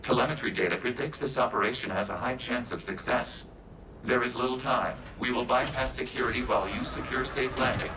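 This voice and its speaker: An actor voicing a calm, logical synthetic robot in a video game, speaking in formal, measured, literal phrases. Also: male voice, medium pitch, over music, natural voice, poor audio. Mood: measured, factual.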